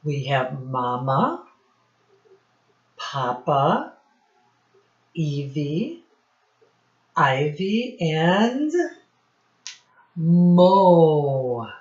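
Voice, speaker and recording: A woman teacher reading a storybook aloud to young children in a deliberate, instructive way, pausing to ask them questions. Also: low-pitched, sing-song, a little mellow, lo-fi sound.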